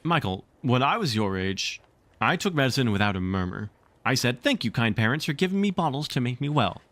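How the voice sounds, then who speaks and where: A man's voice: mid-range voice, sing-song, a little fast, fairly bright tone, no room sound, pitched above the performer's own voice. A man voicing a vain, cowardly middle-aged storybook father with pompous, indignant delivery.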